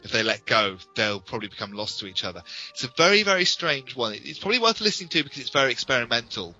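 A man's voice: mid-range voice, very animated, fast, sharp tone. A British man co-hosting a podcast, talking casually and frankly as an opinionated fan. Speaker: podcast co-host. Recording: dull lo-fi audio with music.

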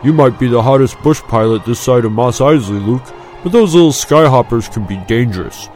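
A man's voice: fairly low pitch, moderate pace, dark tone, soft background music, natural voice. A man voicing an easygoing, smug young male pilot, knowing and emphatic, talking to a friend in an audiobook. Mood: teasing, warm.

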